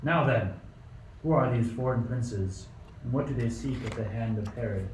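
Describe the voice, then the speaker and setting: A man's voice: mid-range voice, moderate pace, lightly acted. Man acting a suspicious, tyrannical old king, echoey. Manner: imperious, snappish, paranoid accusations. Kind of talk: radio play acting. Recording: roomy, distant mic, faint noise.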